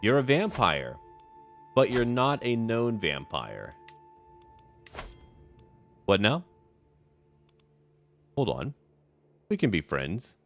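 A man's voice: medium pitch, sing-song, slow, long pauses. A man narrating a strategy game in character, musing aloud theatrically in short, clipped phrases over music. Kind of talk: in-character roleplay game commentary.

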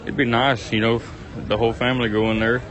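A man's voice: medium pitch, flat delivery, fairly quick. A man, chatting casually and enthusiastically through a handheld phone vlog, rambling with plenty of ums and uhs while walking around an outdoor pumpkin farm. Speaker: vlogger. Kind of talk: casual walk-and-talk vlog. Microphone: handheld phone camera. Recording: crowd noise outdoors. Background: crowd chatter.

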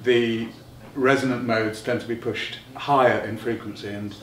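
A middle-aged man giving a technical explanation as an interviewed designer, halting and thinking aloud as he goes. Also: mid-range voice, fairly even intonation. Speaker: loudspeaker designer being interviewed. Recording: roomy, distant mic, noticeable background noise.

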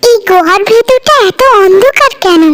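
A woman's voice: very high-pitched, lively intonation, normal speed, a bit bright, slight background noise. A woman voicing a greedy, gloating treasure hunter in a cartoon, boastful and exclaiming. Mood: gloating, amazed. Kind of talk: cartoon villain acting.

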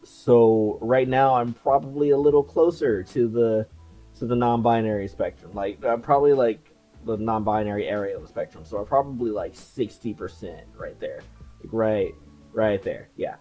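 Relaxed young voice with light laughs. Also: medium pitch, normal speed, dull audio, soft background music. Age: late 20s. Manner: chatty, relaxed, many fillers and soft laughs. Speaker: video creator. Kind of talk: casual talking-head vlog. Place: small cluttered room indoors. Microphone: headset.

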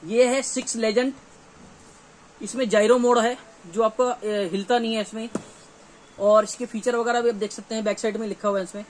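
A man's voice: sits high, fairly even intonation, normal speed, long pauses, rough recording. A man in his thirties with a North Indian accent, a dealer giving an informal, repetitive sales showcase to camera in a small shop. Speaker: shop dealer presenting products. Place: small cluttered gear shop, indoors. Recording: faint shop background noise.

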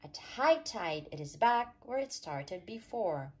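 A woman reading a rhyming children's picture book aloud in an expressive, sing-song storytelling way. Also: mid-range voice, takes it slowly, husky. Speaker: storytime reader.

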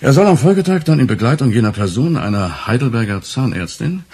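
An actor playing a stern, formal senior police official in a radio crime drama, speaking in measured bureaucratic sentences with a husky voice. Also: male voice, mid-range voice, very animated, darkish tone. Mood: formal, insinuating. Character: stern, formal senior police superior, male, pedantic and cool.

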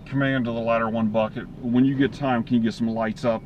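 A man's voice: little pitch movement, a little fast, lo-fi sound. A man working as a fire incident commander, giving procedural, clipped instructions in radio dispatch style. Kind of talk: fireground radio dispatch talk. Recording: noisy outdoor scene, vehicle rumble.

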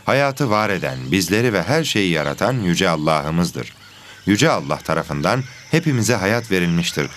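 Deep-voiced, patient cartoon teacher. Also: male voice, sing-song, fairly bright tone, slight background noise. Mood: calm, instructive. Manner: measured, instructive. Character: patient, pious, authoritative male teacher. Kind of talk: children's educational cartoon acting.

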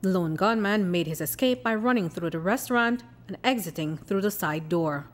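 Belizean lady news reporter, steady scripted voice-over, even and measured. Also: mid-range voice. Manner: measured, even newsreader delivery.

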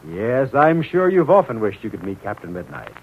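An actor playing a chummy, eager announcer on a 1940s children's radio show. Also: male voice, on the low side, very animated, normal speed, a little hiss or hum. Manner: chummy, showmanlike. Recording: tinny, narrow old radio recording.

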